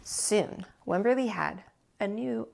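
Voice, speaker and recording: A woman reading a children's story aloud as a storytime host and teacher, with expressive, deliberate delivery. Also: medium pitch, vocal fry.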